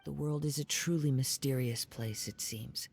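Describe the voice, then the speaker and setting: Soft breathy young swordsman from a game, super polite n pensive, music behind. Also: mid-range voice, fairly even intonation. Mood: calm, admiring. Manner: formal, polite, pensive, measured. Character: soft-spoken, courteous, contemplative young male wandering swordsman. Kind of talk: scripted video game character dialogue. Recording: background music.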